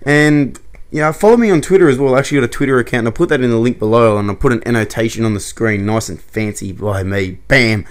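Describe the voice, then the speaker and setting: A man's voice: medium pitch, fast talker. Rambling young man. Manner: rambling and opinionated, self-correcting with short laughs. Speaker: YouTuber. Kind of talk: casual opinion commentary to camera.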